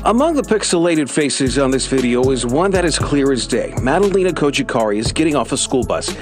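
A man gives a crisp TV news report in a mid-range voice. Music runs clearly underneath, as in a broadcast clip. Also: medium pitch, big pitch swings, a little fast.

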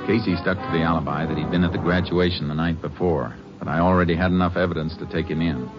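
An actor playing a calm, methodical male Texas Ranger who questions in a measured way in a 1950s western radio drama. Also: deep voice, close to an everyday voice. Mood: narrating. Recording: dull vintage 1950s radio recording with music.

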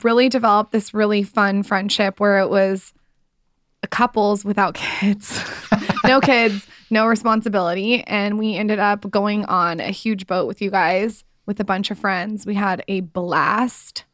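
A woman's voice: mid-range voice, fairly even intonation, a little slow, fairly bright tone, dry recording. Chatty woman podcast co-host, close dry sound. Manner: informal, teasing, chatty. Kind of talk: casual chat.

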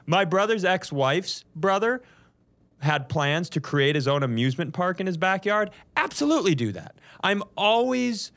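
A man's voice: sits high, big pitch swings, moderate pace, a bit bright. A man hosting a comedy podcast, telling a story from notes in a casual, profane way and often chuckling as he goes.

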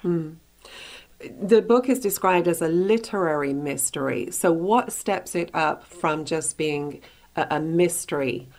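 A woman's voice: sits low, unhurried, darkish tone. A woman presenting a broadcast interview as the host, introducing her guest and putting questions to him. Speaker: radio talk show host, interviewer.

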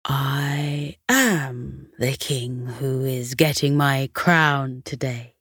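A woman voicing a kindly, dignified male king in a children's story, speaking in a stately, deliberate way. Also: rumbling low, a bit bright, husky, no room sound, studio quality, pitched below the performer's own voice, exaggerated voice.

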